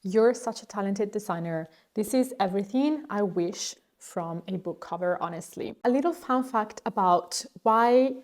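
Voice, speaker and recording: A young woman from Italy speaks in English with an Italian accent, chatty and gushing as she recommends books. Her voice sits at an everyday middle pitch for a woman. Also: moderate pace, few pauses, a little mellow. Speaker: book vlogger. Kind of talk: casual book recommendation vlog.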